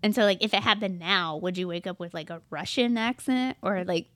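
A woman's voice: mid-range voice, brisk, a bit bright, dry recording. A woman co-hosting a casual podcast chat, musing aloud and using "like" often. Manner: chatty, muses aloud with many "like"s. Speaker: podcast co-host.

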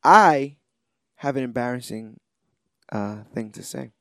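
A man co-hosting a podcast, chatting casually, telling things in an animated way and speaking straight to listeners. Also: mid-range voice, unhurried, vocal fry, dry recording, clean studio sound. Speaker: podcast co-host. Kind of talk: casual chat, comic book recap.

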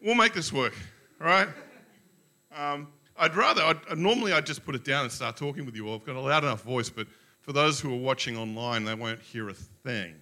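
A man's voice: mid-range voice, a little fast, sharp tone. A male pastor, speaking in a casual, self-joking way into a handheld mic to his congregation. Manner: chatty, self-joking, many fillers. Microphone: handheld microphone.

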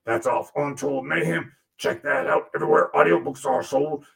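A man's voice: fairly high pitch, steady tone, normal speed, gravelly. A man voicing a crude, sarcastic male demon puppet who grumbles and swears through a comic monologue.

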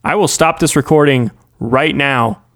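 A man's voice: mid-range voice, a little slow, a bit bright. A man co-hosting a casual podcast, laid-back and agreeable, filling his talk with "like" and "yeah". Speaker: podcast co-host, musician. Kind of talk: casual chat.

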